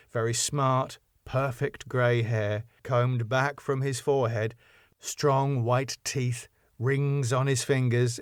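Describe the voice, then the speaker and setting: A man narrating the stage directions of a sitcom script in a plain, measured way. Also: medium pitch, smooth, no room sound, studio quality.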